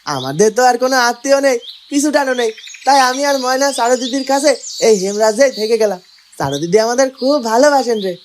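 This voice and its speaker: A woman plays a cheerful young palace guard in a fairytale cartoon. The voice is markedly high, chummy and loyal, with slight background noise. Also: animated, brisk, natural voice. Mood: approving, content. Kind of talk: Bengali fairytale cartoon dubbing.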